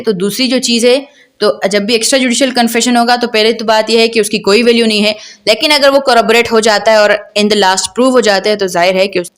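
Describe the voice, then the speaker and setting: Female law lecturer, Pakistani accent, higher-pitched voice, didactic delivery that reads passages and then explains them. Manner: didactic, repeats points and checks understanding with questions. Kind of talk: explanatory lecture, reading case law and paraphrasing it.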